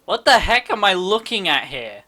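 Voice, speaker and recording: Animated woman reacting to a game. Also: mid-range voice, normal speed, faint music behind. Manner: giggly, amused, reactive. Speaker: gaming streamer. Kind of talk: casual game reaction commentary.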